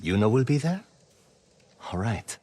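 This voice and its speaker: An actor playing a guarded, gruff leader of hunters in a game cutscene, wry, blunt and clipped. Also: male voice, medium pitch, sing-song, unhurried, warm tone, dry recording. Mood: blunt, determined. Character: guarded, gruff male hunter leading outlaw archers. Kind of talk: dramatic video game cutscene acting.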